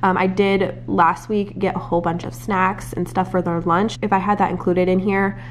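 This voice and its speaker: Congested young American mom, chatty. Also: female voice, medium pitch, level tone, normal speed. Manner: chatty, rambling, self-correcting. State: stuffy and congested from a cold. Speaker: family vlogger, mother of four. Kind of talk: casual chat to camera. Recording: echoey room with faint noise.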